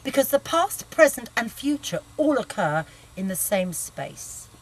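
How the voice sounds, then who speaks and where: A woman's voice: fairly high pitch, sing-song, a little slow, husky, full character voice, rough recording. A woman playing a brash, irritable narrator in a comedic book reading, sardonic, bossy and blunt. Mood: musing. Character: brash, irritable sweary woman musing on past lives.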